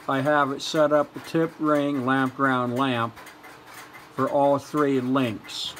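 A man's voice: mid-range voice, noisy background. A man narrating an explanatory demonstration in a methodical, matter-of-fact, technical manner. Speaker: hobbyist presenter.

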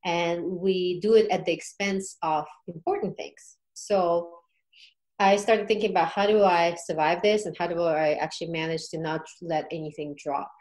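A woman's voice: fairly low pitch, fairly even intonation, normal speed, darkish tone, rough recording. A woman guest on a podcast, telling her experience in a casual, informal way with frequent check-in questions. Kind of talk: casual conversational storytelling.

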